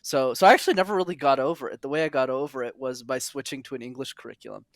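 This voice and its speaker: A young man from Montreal, a podcast guest, telling his story in an earnest, animated way with quick asides. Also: medium pitch, no room sound, studio quality. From Montreal, Canada. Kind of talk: casual interview.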